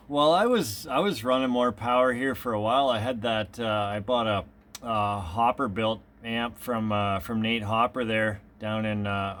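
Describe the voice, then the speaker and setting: A man's voice: fairly low pitch, fairly bright tone. A man chatting casually as a radio hobbyist, easygoing and rambling in his delivery. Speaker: amateur radio operator.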